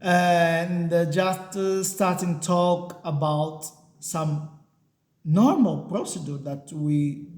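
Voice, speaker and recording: Slow, halting man. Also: a little high, a little mellow. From Portuguese-speaking country. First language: Portuguese. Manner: halting non-native English, many fillers and restarts. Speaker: software engineer and channel host. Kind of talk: casual talking-head vlog. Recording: echoey room.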